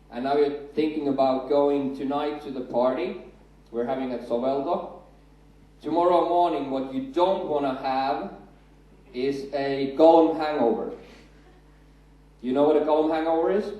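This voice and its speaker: A man's voice: mid-range voice, muffled recording. A man in his thirties with a Finnish accent, a native Finnish speaker, giving a chatty, self-deprecating conference talk with a handheld mic on a stage. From Finland. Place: large hall on a stage. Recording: echoey hall, far from the mic.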